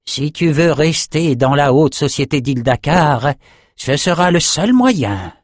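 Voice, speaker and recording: A man's voice: fairly high pitch, sing-song, measured pace, no room sound, studio quality, pitched above the performer's own voice. A man voicing a smug, menacing male sorcerer in a fantasy audiobook, theatrical and taunting.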